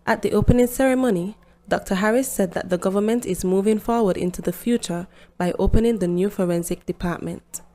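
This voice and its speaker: A woman's voice: medium pitch, a little fast, warm tone. A woman reading scripted news as a reporter.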